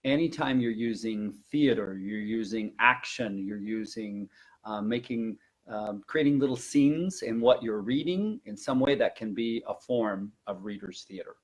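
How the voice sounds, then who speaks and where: A man's voice: on the low side, unhurried, breathy edge. A man giving informal, emphatic instructional advice as a language teacher presenting a webinar.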